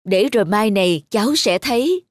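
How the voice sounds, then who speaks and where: A woman's voice: medium pitch, expressive, normal speed, slight background noise, lightly acted. A woman voicing an older garden plant, a stern elder who lectures and admonishes in a children's cartoon. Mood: matter-of-fact warning. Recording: music behind the voice.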